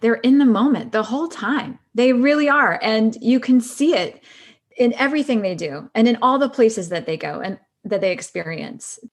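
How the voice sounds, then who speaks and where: A woman's voice: a little high, lively intonation, normal speed. A woman speaking as a podcast guest in casual chat, earnest and agreeable, repeating phrases for emphasis.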